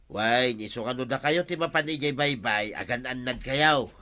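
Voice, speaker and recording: An actor with an Ilocano accent playing a friendly, chatty village friend in a radio drama. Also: male voice, mid-range voice, moderate pace, pushed and bright, dry recording, close to an everyday voice. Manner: familiar, friendly banter.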